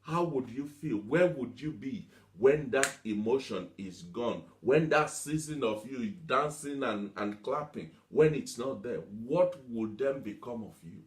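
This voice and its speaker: A man's voice: medium pitch, expressive, unhurried, hardly any pauses. A man preaching a motivational teaching sermon, emphatic, repeating himself and asking rhetorical questions. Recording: echoey room.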